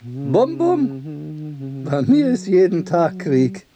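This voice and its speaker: An actor playing a brooding oddball in a radio drama, speaking cryptically. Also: male voice, fairly high pitch, big pitch swings, very slow, crowd noise, full character voice.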